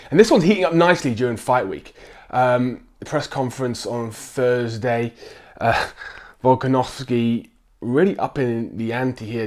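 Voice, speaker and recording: A man with a croaky voice from a chest infection, chatting casually about upcoming fights and filling his talk with ums and restarts. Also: medium pitch. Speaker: sports vlogger. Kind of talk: casual chat to camera.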